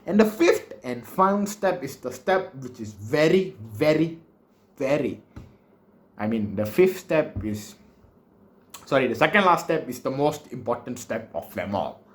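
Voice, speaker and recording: Animated Malaysian man coaching speeches. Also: mid-range voice, unhurried. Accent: Malaysian English. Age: 20s. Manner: animated, joking, big hand gestures, wanders off topic. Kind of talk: casual vlog lesson. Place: small bedroom with bookshelves, indoors.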